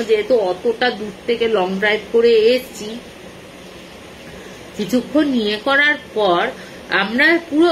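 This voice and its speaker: A high-pitched female storyteller recounts an eerie tale in an informal way. She often rephrases and explains as she speaks. There is noticeable background noise behind her. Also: fairly bright tone, poor audio. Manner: conversational, repeatedly clarifying herself as she goes. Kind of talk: informal retelling of a ghost story.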